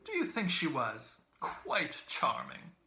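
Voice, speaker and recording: A man acts out a boisterous young Irishman from a novel, mocking and theatrical. He declaims brightly and teasingly, lifted above a plain talking pitch, in a roomy recording. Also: huge pitch swings, slow pace, creaky, heavily acted. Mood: sweetly mocking. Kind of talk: literary audiobook character voice.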